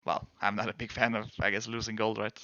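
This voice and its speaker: Danish-native male streamer, lowish voice, dry close sound, hesitant and rambling. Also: flat delivery, fast talker, bright, forceful tone, dry recording, dull audio. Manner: rambling, hesitant, restarts sentences. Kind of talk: casual chat with viewers while gaming.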